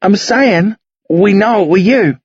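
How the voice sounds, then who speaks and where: A man's voice: sits high, lively intonation, a little slow, a little mellow, slightly rough, no room sound, lo-fi sound, voice pushed up, full character voice. A man voicing an angry, defiant teenage Australian boy in an audiobook, blunt, slangy and confrontational. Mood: insistent, angry. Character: angry accusing teenage boy, rough, foul-mouthed, defiant.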